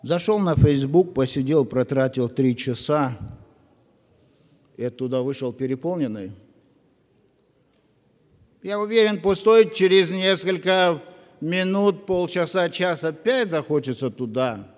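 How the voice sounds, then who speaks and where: A man preaching a sermon to his congregation, earnest and full of pauses. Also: fairly high pitch, expressive. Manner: slow, earnest, with long pauses. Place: church hall. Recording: long reverb of a church hall.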